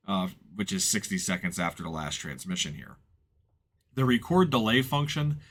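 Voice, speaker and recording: A man narrating an informal software walkthrough in a plain-spoken, explanatory way, hedging as he goes. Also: fairly low pitch. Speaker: tutorial presenter and firefighter.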